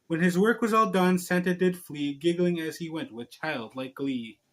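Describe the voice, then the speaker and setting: A man's voice: on the high side, normal speed, a bit bright. A man reading a storybook aloud and chatting casually to viewers, with a playful, giggly manner.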